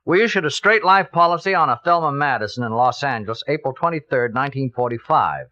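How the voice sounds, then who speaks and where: A man playing an amiable insurance executive in a 1950s radio drama, brisk and familiar with slight hesitations. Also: medium pitch, animated, pushed and bright. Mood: matter-of-fact. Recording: dull vintage radio recording.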